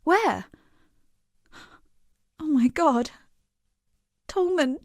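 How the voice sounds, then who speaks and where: High-voiced, grieving exile woman. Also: expressive, no echo, studio quality, exaggerated voice. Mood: stricken, grieving. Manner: earnest, subdued, halting. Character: grieving young woman exile, earnest and guarded. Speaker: game non-player character. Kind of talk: dramatic video game voice acting.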